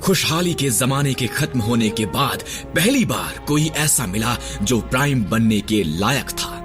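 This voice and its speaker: A weary robot medic, acted by a man, lectures in a measured, somber cartoon-dub voice. His pitch sits in the ordinary middle range. A loud musical score plays beneath him. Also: expressive, music in the background, lightly acted. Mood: solemn. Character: grizzled old male robot medic, stern and weary. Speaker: storyteller recounting history.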